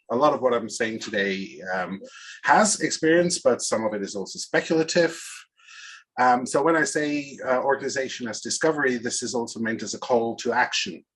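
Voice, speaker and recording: A middle-aged man from Switzerland with a Swiss accent, presenting informally as a guest speaker in a halting, reflective manner. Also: medium pitch, husky, low-quality recording. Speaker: agile coach and guest speaker. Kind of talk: informal conference-style presentation.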